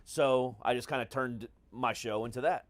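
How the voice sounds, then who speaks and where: A man's voice: mid-range voice, normal speed, no echo. A middle-aged American man hosting a podcast in casual, profane talk, with a brash, mock-grandiose showman's manner. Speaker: podcast host.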